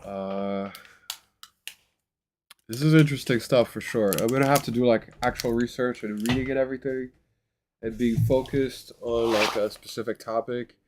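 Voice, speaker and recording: A man's voice: medium pitch, steady tone, moderate pace, background noise. A man with a congested, nasal voice talking casually in a vlog, rambling and hesitant with many fillers and restarts. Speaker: vlogger. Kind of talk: casual self-recorded vlog.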